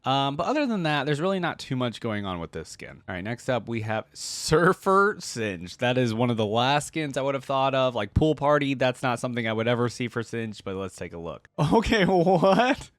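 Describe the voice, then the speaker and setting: A man hosting a reaction video, commenting casually in an expressive, animated way with frequent exclamations. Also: medium pitch, moderate pace, hardly any pauses, dry recording.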